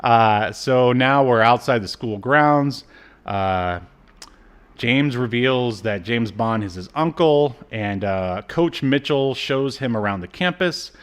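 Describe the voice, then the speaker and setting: Male podcast co-host, thirties to forties. Mid-range pitch, casual recap style, wry and mocking. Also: animated, slow pace, clear, resonant tone. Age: 30s to 40s. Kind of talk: casual chat recapping a cartoon.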